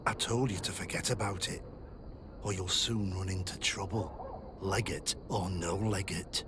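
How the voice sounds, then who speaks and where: An actor playing a gruff, threatening man in a video game. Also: deep voice, fairly even intonation, a little slow, background noise. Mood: menacing. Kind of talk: dramatic game dialogue.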